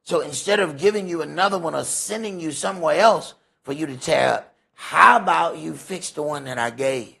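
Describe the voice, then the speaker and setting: Raspy, gravelly American man preaching. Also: on the high side, normal speed, few pauses, pushed and bright. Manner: emphatic, repeats phrases, rhetorical questions. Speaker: preacher leading a Bible study. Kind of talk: sermon, extemporaneous teaching.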